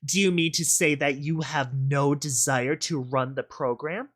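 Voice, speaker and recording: Man voicing a commanding, sarcastic pony villainess, pitched up. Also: steady tone, measured pace, fairly bright tone. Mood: sarcastic, annoyed. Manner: blunt, commanding, sneering. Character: menacing, sarcastic female pegasus pony boss. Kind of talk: dramatic audio fan-fiction reading.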